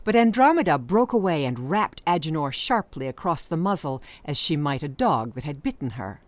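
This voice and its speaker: A woman's voice: deep voice, very animated, normal speed, no room sound. A woman narrating an audiobook story in a scripted, dramatic storytelling style.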